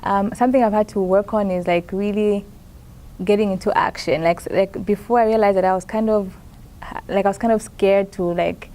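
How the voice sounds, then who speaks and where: A young woman from Zambia speaks in a warm, mellow voice. She answers interview questions softly and haltingly, restarting phrases and filling pauses as she thinks aloud. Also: mid-range voice, steady tone. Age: young adult. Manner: hesitant, many fillers and restarts, soft-spoken. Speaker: master's student in plant science. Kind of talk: conference interview, casual answers.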